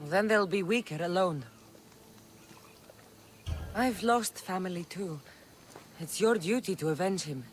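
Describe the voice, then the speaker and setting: A woman's voice: fairly low pitch, measured pace, pauses often, close to an everyday voice. An actress playing a tough, blunt but caring female mercenary in a video game. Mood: businesslike. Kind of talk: video game dramatic acting. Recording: game music underneath. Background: background music.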